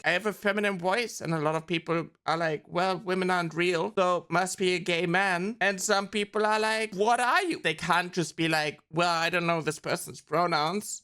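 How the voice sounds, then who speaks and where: Bright-voiced earnest woman streamer. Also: deep voice. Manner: earnest, explaining with many "like" fillers. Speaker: VTuber streamer. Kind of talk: casual explanatory stream talk.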